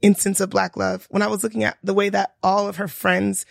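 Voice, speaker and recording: A woman with a New York accent and a hoarse voice, co-hosting a casual podcast chat and telling stories with frequent laughs. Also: medium pitch, flat delivery, fairly quick, no room sound, studio quality. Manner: storytelling, laughs often, swears casually. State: hoarse from a lost voice. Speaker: podcast co-host.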